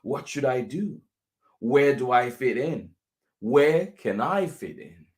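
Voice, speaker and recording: Slow-paced man reading a picture book aloud. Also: medium pitch, expressive, darkish tone. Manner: deliberate, with pauses between phrases.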